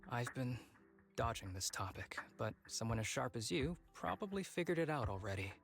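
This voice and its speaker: A man's voice: mid-range voice, sing-song, moderate pace, vocal fry. A young male video game fighter, sickly yet easygoing, with a mid-range voice. He talks breezily about heavy matters, over background music. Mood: confessional.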